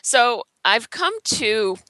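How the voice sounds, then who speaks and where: A woman in her thirties talks openly and reflectively as a podcast guest, with a bright, forceful tone. The sound is close and dry, with no room around it. She falls into filler words and small laughs as she thinks aloud. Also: fairly high pitch, animated, drawn-out words, dry recording, low-quality recording. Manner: earnest, self-reflective, laughs lightly, many "um" and "like". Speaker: interior designer and blogger, guest. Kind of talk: candid podcast interview chat.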